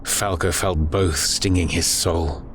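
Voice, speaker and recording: Male audiobook narrator, low pitch, flat intonation, solemn, dramatic war horror, rumbling ambient noise behind. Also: takes it slowly. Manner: grave, solemn.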